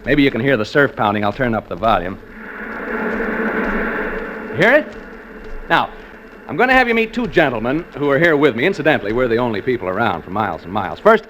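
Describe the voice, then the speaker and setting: A man's voice: mid-range voice, a bit bright. A man acting a jokey, folksy American radio host turned ghost hunter, in 1940s radio drama style with theatrical emphasis. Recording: noisy old radio show recording.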